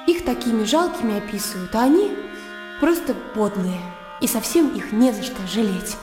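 An actress playing a naive, blunt young country gentlewoman in a Russian radio drama, opinionated and emotional. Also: fairly high pitch, lively intonation, normal speed, natural voice. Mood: scornful, heated. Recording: roomy long reverb with music behind.

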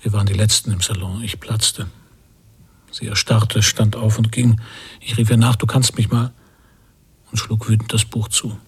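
An actor playing a weary man of fifty in a literary radio drama, husky and gravelly, brooding and deliberate in his telling. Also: lowish voice, little pitch movement. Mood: frustrated. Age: 50s. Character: weary man of fifty, an emigrant struggling to learn English.

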